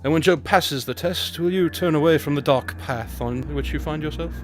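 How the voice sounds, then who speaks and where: A calm heavenly being in a biblical radio drama, played by a man. The voice is on the higher side, formal and firm with measured authority. Faint music sits underneath. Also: close to an everyday voice.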